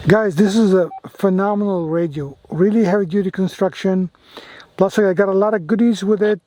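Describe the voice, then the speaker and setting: A man's voice: high voice, fairly even intonation, normal speed, dark tone. A man vlogging casually about amateur radio gear, talking enthusiastically and often repeating his phrases. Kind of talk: casual product demo and radio operating.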